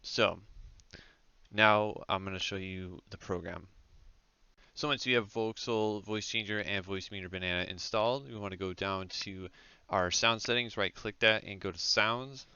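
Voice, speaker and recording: A man's voice: lowish voice, moderate pace, pushed and bright, clean tone, no room sound, dull audio. A man hosting a casual step-by-step tech tutorial as a voice-over, speaking informally with frequent hesitations. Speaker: tutorial host.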